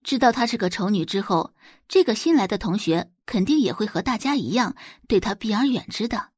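A woman's voice: mid-range voice, lively intonation, brisk, husky, no echo, studio quality. A woman reading a scripted story expressively as an audiobook narrator.

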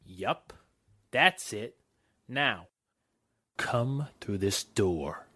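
Menacing American henchman, forties radio crime drama. Slangy sneer, hard bright edge, swooping delivery. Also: fairly low pitch, animated, drawn-out words, breathy edge, heavily acted. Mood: smug. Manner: sneering, slangy tough-guy talk. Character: menacing 1940s gangster henchman with a gun. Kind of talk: 1940s radio drama acting.

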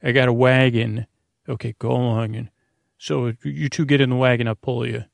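A man with a creaky, fry-edged voice in a dry, close recording. He hosts a bedtime podcast, wandering through a rambling monologue. His delivery is hesitant, with stammered repeats and sentences that trail into tangents. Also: mid-range voice, little pitch movement, normal speed, no echo. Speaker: host of a bedtime sleep podcast.

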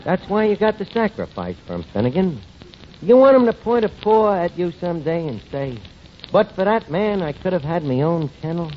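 A man's voice: sits high, sing-song, normal speed. An actor playing a scheming tavern manager in a 1940s radio comedy, with ungrammatical, wheedling salesman's patter. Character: scheming, fast-talking tavern manager, small-time promoter with grand ideas. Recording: muffled, noisy 1940s radio recording.

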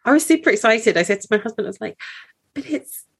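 A woman's voice: on the high side, lively intonation, quick pace, vocal fry, husky. A woman in her thirties, a podcast guest chatting casually, bubbly and enthusiastic. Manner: bubbly, enthusiastic, laughs often. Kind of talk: casual chat.

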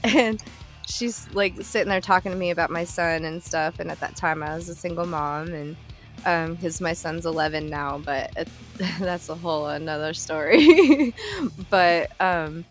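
A woman in her thirties hosting a casual chat, telling lively stories, swearing freely and laughing often. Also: fairly low pitch, measured pace, soft background music. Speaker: podcast host.

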